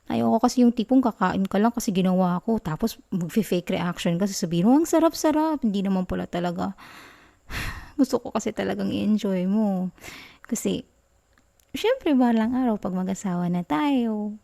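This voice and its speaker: A woman with a Filipino accent playing a sweet, bashful, doting girlfriend in an affectionate ASMR roleplay, talking softly and coyly in a giggly, tender way. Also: mid-range voice, vocal fry, lightly acted. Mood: earnest, affectionate. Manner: soft, giggly, coy, tender.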